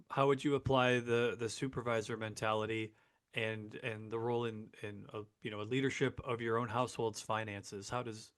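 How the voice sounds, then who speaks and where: A man's voice: medium pitch, clear, resonant tone. A man co-hosting an interview in a casual, explanatory conversational style. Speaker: interview co-host.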